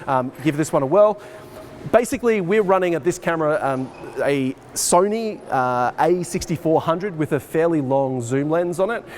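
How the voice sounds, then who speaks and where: A man in his forties, a teacher, giving an informal walk-through technical explanation to camera in a hall. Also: fairly high pitch. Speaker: teacher, head of arts and IT department. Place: large dark school assembly hall, indoors. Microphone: camera microphone a short distance away. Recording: noisy hall ambience on camera mic.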